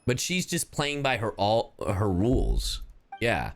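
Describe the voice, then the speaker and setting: A man livestreaming a game, reacting casually to his chat in an excitable, slangy, foul-mouthed way. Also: lowish voice, slow pace, a little hiss or hum.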